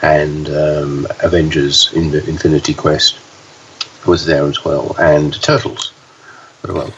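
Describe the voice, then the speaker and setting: A man co-hosting a podcast, talking with a hoarse voice and halting, with frequent fillers and restarts. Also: a bass voice, level tone. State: hoarse, deeper voice than usual. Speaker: podcast co-host, pinball news reporter. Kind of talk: casual podcast chat. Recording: dull, slightly distant, faint hiss.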